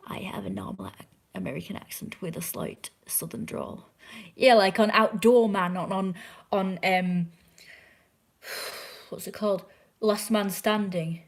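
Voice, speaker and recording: A young woman with an English accent, hoarse from a sore throat, chatting casually and opinionatedly to a viewer from a chair at home, often dropping into hushed asides. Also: medium pitch, measured pace, long pauses. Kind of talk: casual rambling chat. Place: indoors at home, curled in a chair.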